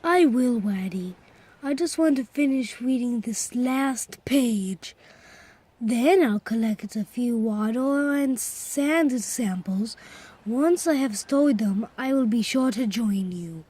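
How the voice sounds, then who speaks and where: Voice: medium pitch, animated, slow pace, darkish tone, slightly rough. A performer voicing a bookish, earnest science-loving animal puppet in a children's show, with a nerdy manner.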